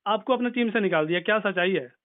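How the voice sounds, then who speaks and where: A man's voice: sits high, fast. A man interviewing casually over a phone call in a deferential, agreeable manner. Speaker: interviewer for a Bhojpuri entertainment channel. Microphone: telephone. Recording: tinny phone call recording.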